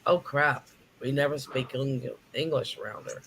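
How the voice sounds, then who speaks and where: A woman's voice: extremely low pitch, moderate pace, a bit bright, husky, low-quality recording. A woman in her fifties, a native Japanese speaker, telling stories in a casual chat. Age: 50s. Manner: storytelling, with a chatty pace.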